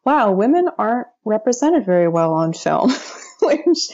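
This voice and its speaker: A woman's voice: mid-range voice, sing-song, unhurried, darkish tone, highs cut off. A woman in her thirties from the United States, a guest on a podcast interview, chatty and often laughing.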